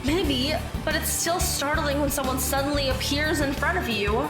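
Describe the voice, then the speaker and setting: A woman's voice: high-pitched, moderate pace, natural voice, rough recording. An actress playing an earnest teenage girl who is new to magic, asking plain, curious questions in an audio drama. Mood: uneasy. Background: background music.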